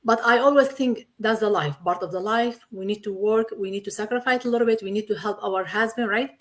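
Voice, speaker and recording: A woman's voice: mid-range voice, a little fast. A woman from Syria in her thirties, a guest in a casual interview, talking earnestly in simple, repetitive English. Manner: earnest, repeats herself, simple non-native English.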